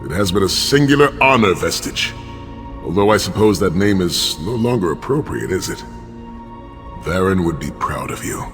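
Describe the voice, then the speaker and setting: A noble warrior companion with a deep voice in long hall reverb. He is stoic and earnest, choosing formal, measured words like a philosopher of the battlefield in a fantasy game. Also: expressive, a bit bright. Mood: earnest, reflective. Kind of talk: video game character acting. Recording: long hall reverb, clear music behind.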